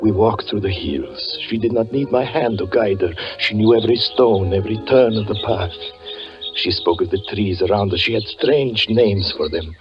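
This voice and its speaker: An actor playing a haunted, doom-laden fortune teller, narrating gravely and haltingly in 1940s radio drama style. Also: male voice, lowish voice, close to an everyday voice. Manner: grave, halting, melodramatic delivery. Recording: muffled 1940s radio recording with music.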